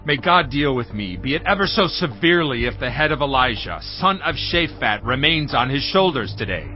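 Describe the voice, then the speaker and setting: A man's voice: medium pitch, sing-song, moderate pace, muffled recording, soft background music, lightly acted. An actor playing a desperate, angry king of Israel who speaks in an agitated, vehement way.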